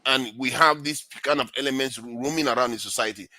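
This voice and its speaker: A Nigerian man on a panel talk, speaking forcefully and with a mocking edge. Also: mid-range voice, quick pace, no room sound. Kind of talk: heated panel discussion.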